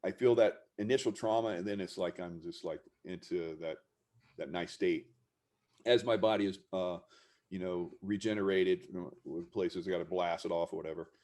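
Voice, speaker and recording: A man hosting an interview, reacting and reflecting in a relaxed, affirming way. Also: deep voice, normal speed. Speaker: host. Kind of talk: casual interview talk.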